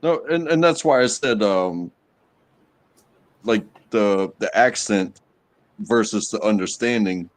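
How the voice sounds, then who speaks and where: A man from the United States, a guest on a live stream, talking casually in a halting way with many repeats and fillers. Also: fairly low pitch.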